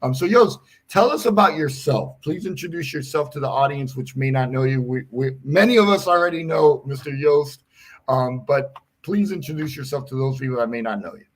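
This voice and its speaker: A man's voice: mid-range voice, a little fast. A man hosting a livestream talk show, eagerly urging viewers to follow and ask questions. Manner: energetic, repetitive calls to engage. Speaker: show host.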